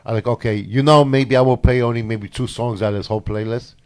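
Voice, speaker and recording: A man's voice: mid-range voice, fast talker. Man telling a casual story. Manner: animated storyteller, gives shout-outs. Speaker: co-host and DJ. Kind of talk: casual podcast chat.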